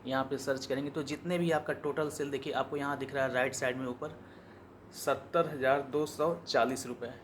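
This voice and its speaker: An Indian man giving an informal step-by-step software tutorial, explaining as he goes and repeating his instructions. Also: mid-range voice, fairly even intonation, brisk, a little hiss or hum. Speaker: software demonstrator.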